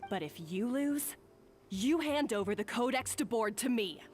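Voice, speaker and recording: A proud, taunting young rival chef, voiced by a woman. Her tone is challenging and confident, set a little high, with a lively, rising and falling pitch. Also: normal speed, faint music behind, close to an everyday voice. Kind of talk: game voice acting.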